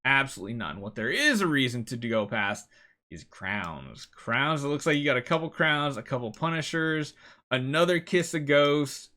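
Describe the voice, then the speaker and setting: A man with a bright, forward voice hosts a gaming video. He talks casually through gameplay advice, leaning on key words and repeating them for emphasis. His pitch sits in an ordinary middle range for a man. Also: big pitch swings, moderate pace.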